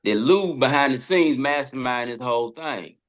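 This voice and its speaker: A man's voice: mid-range voice, lively intonation, moderate pace, fairly bright tone. A man chatting casually as a podcast guest, emphatic and slangy, often repeating himself.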